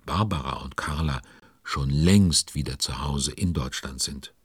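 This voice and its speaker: A man's voice: very deep voice, breathy edge, no room sound, studio quality, full character voice. A man narrating a children's audio story, chatty and speaking directly to young listeners.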